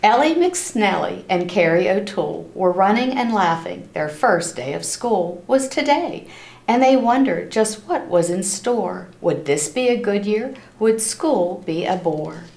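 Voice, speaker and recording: A woman reading a picture book aloud to children in an expressive, animated storytelling style, stopping to address her listeners. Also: mid-range voice, creaky. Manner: animated, acts out characters' lines, pauses to ask listeners questions. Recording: roomy, echoing room sound.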